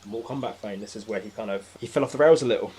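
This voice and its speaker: A man's voice: lowish voice, racing, darkish tone, slightly rough. A man chatting casually as a podcast guest about films and games, informal and descriptive. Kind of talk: casual film and game chat.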